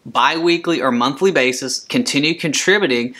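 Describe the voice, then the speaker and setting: A man's voice: medium pitch, moderate pace, sharp tone. A man presenting a scripted financial explainer as an advisor and host, emphatically repeating his key points. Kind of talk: scripted explainer talk to camera.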